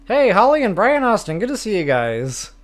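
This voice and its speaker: Higher-voiced man, casual game streamer. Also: wildly swooping pitch, moderate pace. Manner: informal, self-deprecating, rambling. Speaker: host of a video game walkthrough stream. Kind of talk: casual live game commentary, chatting to viewers.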